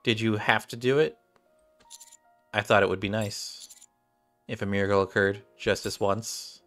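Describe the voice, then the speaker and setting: Bright-voiced man reading game dialogue. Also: fairly low pitch, steady tone, a little slow. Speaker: game streamer. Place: small room at home. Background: game music, keyboard typing.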